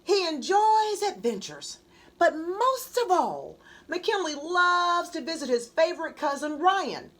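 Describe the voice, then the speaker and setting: A woman reading her own children's book aloud as an animated storybook narrator. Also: very high-pitched, takes it slowly.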